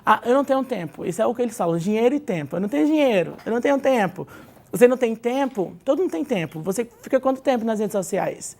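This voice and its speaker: A woman's voice: on the high side, expressive, fast, darkish tone. A Brazilian woman, an English teacher, giving advice as a guest in a TV studio interview and often tagging her points with "né?". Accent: Brazilian Portuguese. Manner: explanatory, lots of "né?" tag questions.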